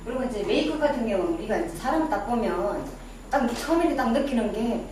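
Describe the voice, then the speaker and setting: Chatty lecturer with a Daegu accent. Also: female voice, on the high side, animated, quick pace. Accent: Daegu Gyeongsang dialect. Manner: chatty, quizzes the audience, self-deprecating asides. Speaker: image-making lecturer. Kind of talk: casual classroom lecture. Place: lecture room with a small audience. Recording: very echoey room, distant mic, background noise.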